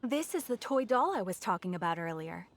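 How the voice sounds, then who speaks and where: A woman's voice: mid-range voice, very animated, brisk, slight background noise. A woman voicing a friendly, chatty souvenir shopkeeper in a video game, with a chirpy sales patter. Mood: helpful, musing. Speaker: shopkeeper character.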